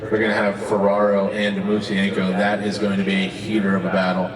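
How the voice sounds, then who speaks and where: A man with a fairly low, very even voice calls a drifting event in a casual, explanatory way. The sound is echoey and distant, as heard from a tower, with loud crowd and engine noise around him. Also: a little fast. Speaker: motorsport commentator in the booth. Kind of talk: live drifting commentary. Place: announcer booth at an outdoor race track. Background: loud crowd and car engines.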